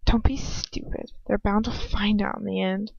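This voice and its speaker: A woman reading as a frightened, despairing young woman who argues with herself in a halting, anguished way. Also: medium pitch, fairly even intonation, vocal fry, a little mellow, breathy edge, no room sound. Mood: anxious, despairing. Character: frightened, despairing young woman narrating her own inner turmoil. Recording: dull, muffled lo-fi home recording.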